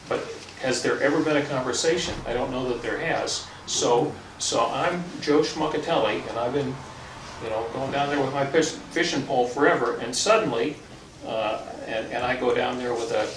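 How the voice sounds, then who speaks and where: Man in an echoey room, far off. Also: mid-range voice. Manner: halting, deliberate, firm. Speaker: town board official. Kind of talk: public meeting discussion. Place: indoor public meeting room. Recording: distant mic, echoey meeting room, clear background noise.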